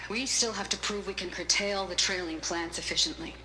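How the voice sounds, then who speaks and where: A woman's voice: medium pitch, brisk, natural voice, poor audio. An actress playing a burdened but resolute female scientist recording a log in a video game, grave and clipped.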